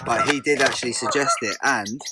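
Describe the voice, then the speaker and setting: A man's voice: mid-range voice, fairly quick, heavy background noise. A man with a London accent co-hosting a fishing channel, chatting in an easygoing, joking way outdoors by a lake. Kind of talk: casual chat. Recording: outdoor recording with loud birdsong. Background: loud birdsong.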